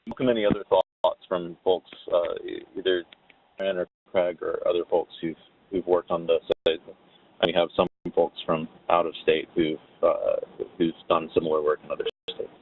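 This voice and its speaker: A man with a very low voice speaks as a webinar panelist, laying out his points in a measured, halting way. The sound is narrow and tinny, like a conference call over the phone. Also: little pitch movement, husky. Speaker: webinar panelist on tax policy. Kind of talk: explanatory webinar talk. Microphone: phone or web conference call.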